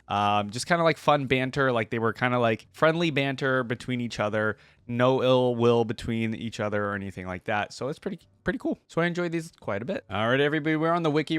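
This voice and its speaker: A man's voice: medium pitch, a bit bright, no echo. A man hosting a reaction video with informal, conversational commentary, recorded on a close microphone.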